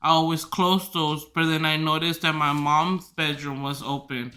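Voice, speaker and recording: A man hosting a podcast who tells rambling stories loudly, swearing freely and filling his talk with "like". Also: on the high side, little pitch movement, normal speed. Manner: loud, swears freely, filler-heavy rambling. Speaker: podcast host. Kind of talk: casual storytelling chat.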